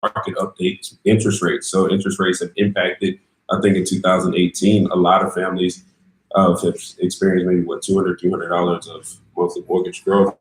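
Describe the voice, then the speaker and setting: A man from New Orleans, slightly raspy today, co-hosting a casual podcast in an upbeat manner and laughing easily. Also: low-pitched, level tone, brisk, roomy sound, poor audio. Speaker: podcast co-host, realtor. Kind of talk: casual chat.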